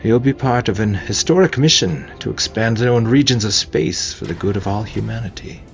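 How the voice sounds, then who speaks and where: A man voicing an older woman navy major in audio fiction, a formal and dryly witty mentor with crisp, measured military speech. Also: fairly low pitch, soft background music, voice pushed up. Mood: warmly approving. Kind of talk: audio fiction character dialogue.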